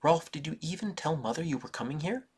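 A man voicing a determined young farm girl who scolds her little brother in a low, hushed tone. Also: expressive, brisk, slightly rough. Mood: questioning.

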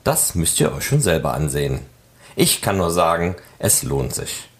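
A man's voice: low voice, big pitch swings, moderate pace, breathy edge. A man hosting a podcast, reading scripted narration. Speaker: podcast host.

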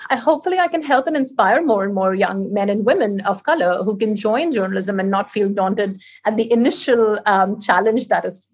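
A woman's voice: medium pitch, husky. A woman from India, speaking as a panel guest and often restarting her sentences as she talks. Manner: hesitant, many restarts and fillers. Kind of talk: panel discussion.

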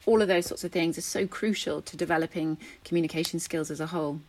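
A woman's voice: low-pitched, steady tone, fast, darkish tone, rough recording. A woman in her thirties, a speech and language therapist, explaining things earnestly as a guest in a podcast interview.

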